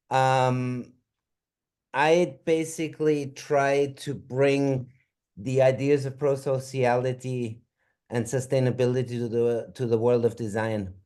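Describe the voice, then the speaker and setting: Middle-aged man from Austria, dark mellow tone, hesitant lecturer on a video call. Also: mid-range voice, clear, resonant tone. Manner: hesitant, many ums and restarts. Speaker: anthropologist presenting his book. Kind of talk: webinar talk.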